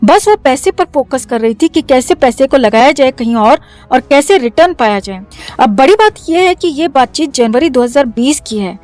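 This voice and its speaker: Woman doing news commentary voiceover, high voice, bit of music behind her. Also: darkish tone. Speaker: news narrator. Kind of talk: scripted news commentary voice-over. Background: background music.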